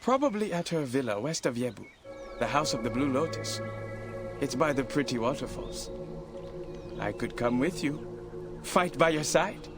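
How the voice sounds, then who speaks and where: A man's voice: mid-range voice, animated, normal speed, pauses often, over music, natural voice. An actor playing a cheeky, boastful male rogue in a video game, glib and theatrical as a storyteller. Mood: eager, sly.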